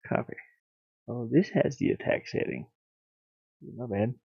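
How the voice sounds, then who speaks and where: A man thinking aloud on a casual livestream as he works, muttering in a halting, absent-minded way. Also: mid-range voice, creaky, husky. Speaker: livestreamer, hobby game developer. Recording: boxy echo, thin phone-like sound.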